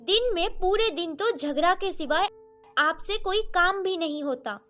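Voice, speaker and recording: A woman playing a sharp-tongued, argumentative young daughter-in-law in a family story. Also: very high voice, lively intonation, measured pace, muffled recording, faint music behind, pitched above the performer's own voice, heavily acted. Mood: irritated, defiant. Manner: argumentative, back-talking.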